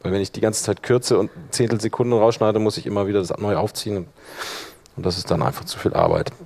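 A man presenting a workshop, explaining a software demonstration informally and talking himself through each step as he works. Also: on the low side, fast talker. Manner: informal, talking through clicks while working. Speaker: workshop presenter, audio editor.

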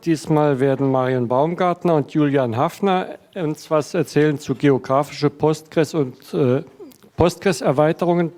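Male session moderator; slightly higher voice, brisk announcing manner. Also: a little mellow. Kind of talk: introducing a talk.

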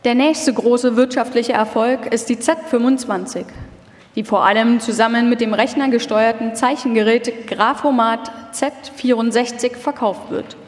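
A female narrator with a fairly high voice delivers a scripted documentary live. The sound has the long reverberation of a large hall. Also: fairly even intonation, normal speed.

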